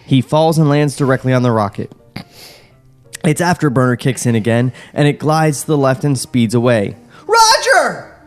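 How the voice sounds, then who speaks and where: Man reading stage directions aloud. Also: medium pitch. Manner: reads directions steadily, breaks off into jokes and laughter. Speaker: podcast host reading a script.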